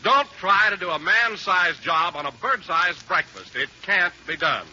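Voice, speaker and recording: A man's voice: sits high, a little slow, sharp tone, dull audio. A man reading a folksy 1940s radio commercial as an announcer. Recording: band-limited old radio with faint noise.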